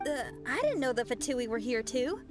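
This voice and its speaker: A woman's voice: high voice, sing-song, moderate pace. A woman playing an upbeat, confident young rock musician in a video game, sincere and casual. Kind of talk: video game character acting. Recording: faint music behind.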